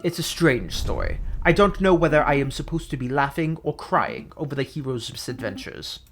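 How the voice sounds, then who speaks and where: This is a man playing an exiled fox prince from a video game, melancholy and courteous. He speaks formally and earnestly in a Spanish accent, with a lively rise and fall. Faint music plays behind him. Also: mid-range voice, normal speed. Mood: courteous, reflective.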